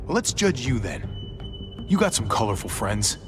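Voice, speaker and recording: A protective younger brother with a lowish voice over clear music. He is wry, sarcastic and smooth-talking, in the manner of a video game survivor. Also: big pitch swings, moderate pace. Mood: wry, needling.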